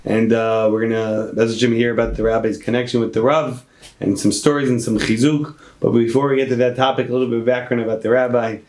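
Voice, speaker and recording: A man hosting an interview program, greeting his guest with welcoming, formal lines and Hebrew blessings. His voice is mid-pitched and bounces around an echoey room. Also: level tone, fast talker, a little mellow. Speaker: program host.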